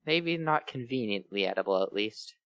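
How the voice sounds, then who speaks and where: Guy rambling mock seriously on a fanfic show, normal pitch, very dry close sound. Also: lively intonation, moderate pace, fairly bright tone, no echo. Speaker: co-host reading fan fiction aloud. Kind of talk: casual chat with joking riffs.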